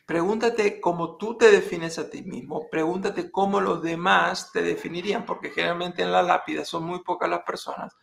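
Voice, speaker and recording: Gravelly man posing deep questions. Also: fairly bright tone. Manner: measured, poses questions to the listener. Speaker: life coach. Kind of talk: reflective motivational talk.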